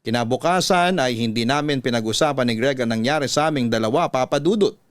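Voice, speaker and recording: A man's voice: mid-range voice, quick pace, dry recording. Dry, close Filipino male story narrator. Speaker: radio-style story host reading a listener's letter. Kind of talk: scripted dramatic narration.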